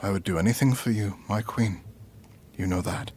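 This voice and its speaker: An actor playing a middle-aged, ambitious royal brother and devoted lover in an audio drama, husky and formal, with measured, pompous phrasing. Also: male voice, lowish voice, darkish tone, close to an everyday voice. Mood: adoring.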